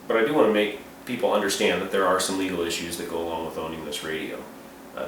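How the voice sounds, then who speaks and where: A man giving an informal, unscripted product review and explainer in a plain-spoken, matter-of-fact way. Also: deep voice. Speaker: reviewer and amateur radio hobbyist. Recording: roomy, distant mic, clearly noisy.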